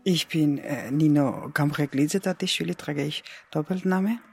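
A woman's voice: low-pitched, a little slow, creaky, darkish tone. A middle-aged woman from Georgia with a Georgian accent speaks reflectively about herself and tells a story in simple phrases. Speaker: interviewee. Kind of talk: reflective personal narration. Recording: music behind the voice. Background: music.

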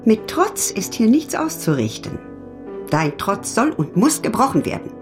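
An actress playing a harsh, unyielding spinster in a German radio drama, speaking in a severe, curt way. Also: female voice, fairly high pitch, sing-song, measured pace, music in the background.

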